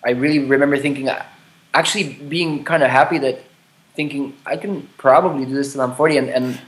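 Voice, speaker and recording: A man in his late thirties in a candid conversation, speaking thoughtfully with frequent restarts and casual swearing. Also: mid-range voice. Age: 30s. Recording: roomy echo.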